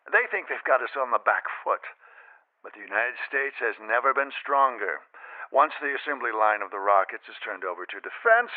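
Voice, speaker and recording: Hawkish official on tinny phone line. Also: male voice, medium pitch. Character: hawkish American defense official, combative, confident government spokesman. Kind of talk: radio interview statement in a drama.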